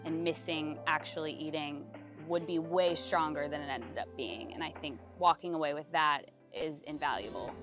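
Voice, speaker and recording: A young woman with a lowish voice speaks in a relaxed testimonial, chatty and full of fillers and soft laughs. The sound is a bit dull and lo-fi. Music plays behind her throughout. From United States. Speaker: retreat guest giving a testimonial. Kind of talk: casual testimonial interview. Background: background music.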